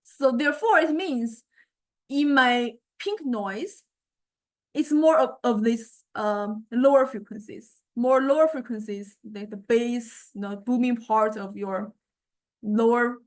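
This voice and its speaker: High-voiced woman lecturing, hesitant and conversational. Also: takes it slowly. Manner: hesitant, searching for words, conversational explaining. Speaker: university music technology lecturer. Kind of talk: informal lecture with software demonstration.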